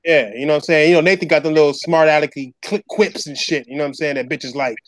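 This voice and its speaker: Laid-back stammering man, fairly high. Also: steady tone, fast talker. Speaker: podcast co-host. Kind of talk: casual gaming chat.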